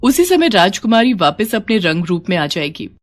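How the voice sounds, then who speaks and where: A woman voicing a vengeful dark fairy in a storybook tale, indignant, imperious and declamatory. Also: medium pitch, very animated, moderate pace. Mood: stern.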